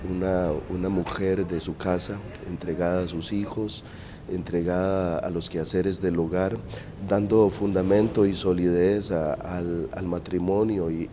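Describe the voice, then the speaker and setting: A man's voice: low voice, flat delivery, unhurried, warm tone, a little hiss or hum. A Costa Rican man, a lawyer, telling his family story in an interview with hesitant fillers and repeated words. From Costa Rica. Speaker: guest, lawyer.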